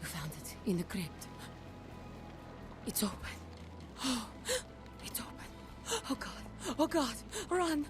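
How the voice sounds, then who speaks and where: An actress playing a cryptic female seer in a video game, lost in visions, halting and breathy. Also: a little high, very animated, music in the background. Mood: terrified.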